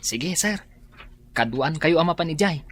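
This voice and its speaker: A woman's voice: low-pitched, expressive, a little slow. A woman with a Filipino accent playing a deferential employee in an Ilocano radio drama. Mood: surprised, deferential.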